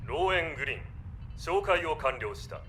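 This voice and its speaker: Stern, high-pitched male patrol officer. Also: lively intonation, normal speed, a bit bright, a little hiss or hum. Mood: officious. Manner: formal, clipped, commanding. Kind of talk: Japanese audio drama acting.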